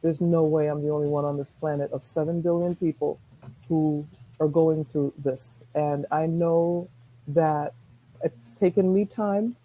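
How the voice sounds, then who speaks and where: A middle-aged woman with a soft-spoken voice, talking earnestly as a guest on a video call, rambling with restarts. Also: sits low, fairly even intonation, measured pace, phone-quality sound, a little hiss or hum. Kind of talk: casual chat.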